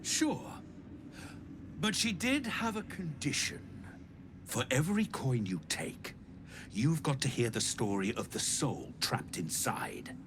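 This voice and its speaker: An actor playing a gruff, wry game trader who tells grim tales in a blunt, folksy way. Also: male voice, mid-range voice, very animated, slow pace. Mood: businesslike, impatient. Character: gruff unhurried male trader, sardonic messenger telling grim soul stories. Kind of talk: video game character dialogue. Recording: constant noisy ambience behind voice.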